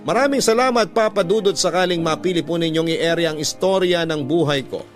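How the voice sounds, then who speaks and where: A man with a fairly high voice works as a radio storyteller. He delivers scripted narration in a dramatic style, with music clearly playing behind him. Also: a little fast. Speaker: radio host narrating listeners' letters. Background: background music.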